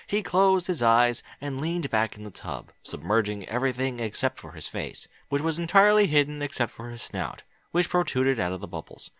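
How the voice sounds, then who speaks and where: A man's voice: mid-range voice, very animated, moderate pace, hardly any pauses, fairly bright tone, dry recording. A man reading fiction aloud as an audiobook, narrating expressively. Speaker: audiobook reader.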